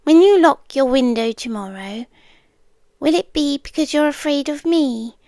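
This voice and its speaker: A woman performs a yandere girlfriend for ASMR roleplay, a British woman with a high, hushed voice. She speaks very slowly and deliberately, drifting into whispers. The sound is dry and intimate, and her tenderness carries a calm, obsessive menace. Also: no echo. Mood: tender, ominous. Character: soft-spoken obsessive girlfriend stalker, calm, coolly intense, yandere.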